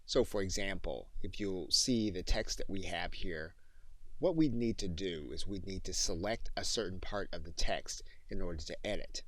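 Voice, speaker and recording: A man with a low voice narrates a software tutorial as its instructor. He explains methodically, one step at a time. The sound is dry, with very little room in it. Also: moderate pace, no room sound. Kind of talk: instructional screen-demo narration with spoken dictation commands.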